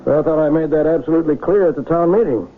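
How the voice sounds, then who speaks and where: An actor playing an earnest, principled small-town mayor under pressure in an old radio drama. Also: male voice, on the high side, rapid-fire, lightly acted. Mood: uneasy, defensive. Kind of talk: 1980 radio drama acting. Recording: noisy, tinny old radio broadcast recording.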